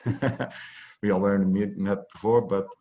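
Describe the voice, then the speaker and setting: Deep-voiced host, gentle and hesitant. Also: male voice, fairly even intonation, normal speed, dull audio. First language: Dutch. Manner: gentle, hesitant, laughs softly, many fillers. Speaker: interviewer, host. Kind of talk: interview host.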